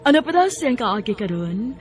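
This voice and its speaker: A woman's voice: on the high side, very animated, normal speed. A woman with a Cebuano accent reading scripted narration as the announcer of a radio drama. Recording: faint music underneath.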